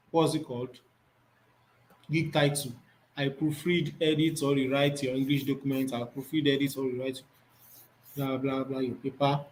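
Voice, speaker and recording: A man's voice: on the high side, poor audio. Man teaching a screen tutorial. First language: Yoruba. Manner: thinks aloud, repeats words while reading off the screen. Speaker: instructor.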